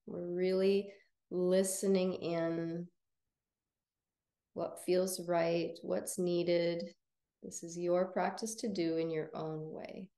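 A woman teaching yoga nidra, guiding relaxation in a gentle, soothing, unhurried manner with long pauses. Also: lowish voice, little pitch movement, echoey room. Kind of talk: guided relaxation meditation.

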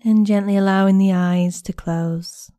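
Slow, mellow, soothing woman meditation guide. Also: mid-range voice, steady tone, dry recording, clean studio sound. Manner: gentle, soothing, unhurried with long pauses. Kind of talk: guided body scan meditation narration.

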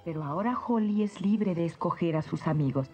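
A woman playing a wise, calm sorceress in a dubbed cartoon, composed and explanatory. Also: on the low side, brisk, lightly acted. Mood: calm, explaining. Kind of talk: cartoon dub acting. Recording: dull lo-fi sound, faint music.

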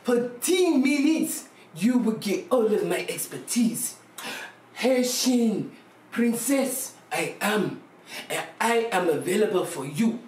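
A woman's voice: mid-range voice, animated, slow, darkish tone. A woman playing a brash, money-hungry Haitian TV psychic who sells her hotline in a bossy, theatrical, insistent way. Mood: grand, assured. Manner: theatrical, bossy, insistent, repeats phrases for emphasis. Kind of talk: comedy skit of infomercial and call-in psychic show. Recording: echoey room, distant mic, music behind.

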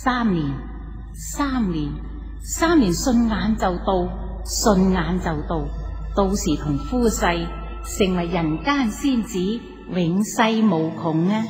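An actress playing a coaxing, insistent inner voice of temptation in a radio drama, in cavernous reverb. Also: female voice, medium pitch, expressive, takes it slowly, a little mellow. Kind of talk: Cantonese radio drama acting. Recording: long cavernous reverb over faint music.

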